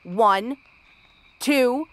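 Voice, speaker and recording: A woman's voice: fairly high pitch, very slow, fairly bright tone, dry recording. A woman playing a cranky, sarcastic exiled princess cursed into a frog girl, in a fantasy audio roleplay. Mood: irritated, bratty. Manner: snappish, sarcastic, tsundere tomboyish bluster. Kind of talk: fantasy ASMR roleplay acting.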